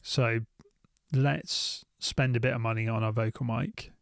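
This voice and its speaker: A British man talks through gear in a casual, unscripted way. His mid-pitched voice has plenty of vocal fry, and the sound is close and dry. He thinks aloud and tends to repeat short phrases. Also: fairly even intonation, unhurried, dry recording. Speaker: YouTube gear reviewer. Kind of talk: casual unscripted shopping commentary.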